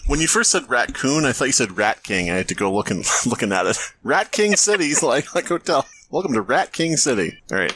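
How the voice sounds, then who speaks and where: A man's voice: medium pitch, fairly quick, hardly any pauses, a little hiss or hum. A man co-hosting a comedy podcast, wisecracking as he reads fan fiction aloud and comments on it. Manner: animated, wisecracking asides. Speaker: podcast host.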